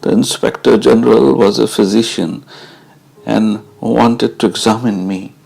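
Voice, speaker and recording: A man giving a measured, deliberate dramatised reading of a first-person memoir, as narrator. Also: fairly low pitch, fairly even intonation, gravelly, darkish tone, slightly rough.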